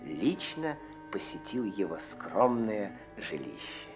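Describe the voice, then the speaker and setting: Wildly swooping, mocking cartoon storyteller. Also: male voice, lowish voice, very animated, slow pace, exaggerated voice. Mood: wry storytelling. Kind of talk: 1960s cartoon voice acting. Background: orchestral music.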